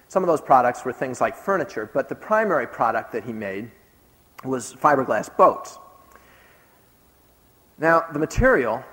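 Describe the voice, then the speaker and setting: A man recounts his travels with earnest emphasis. His voice sits at a middle pitch and carries the long reverb of a large hall. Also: a bit bright. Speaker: speaker recounting a trip. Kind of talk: recounting a trip in a talk.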